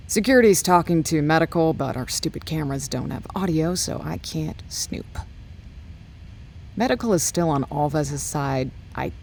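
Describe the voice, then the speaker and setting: A woman's voice: low voice, darkish tone, a little hiss or hum. A woman playing a bored, sarcastic radio operator in an audio drama, talking in a flippant, informal way.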